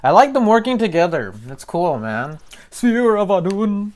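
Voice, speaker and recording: A man's voice: high-pitched, very animated, a little slow, a little mellow. A man hosting a reaction video, talking casually and informally in slangy commentary.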